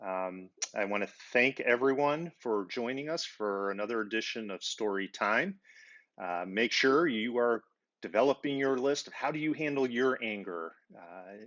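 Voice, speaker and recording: A man's voice: medium pitch, animated, normal speed, a bit bright. Man hosting, dull lo-fi sound. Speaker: host. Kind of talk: informal show sign-off.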